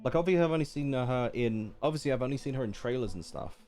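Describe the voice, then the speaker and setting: A man's voice: mid-range voice, expressive, quick pace, darkish tone. A man live-streaming a game with casual, slangy reactions and jokey asides. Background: faint game music.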